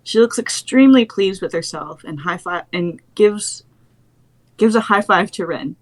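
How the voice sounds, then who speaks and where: A woman's voice: medium pitch, measured pace. A young adult woman reading stage directions as the narrator of a screenplay.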